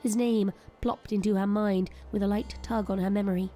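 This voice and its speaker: A woman's voice: medium pitch, steady tone, moderate pace, warm tone, faint music behind. A woman narrator reading a scripted, dramatic story.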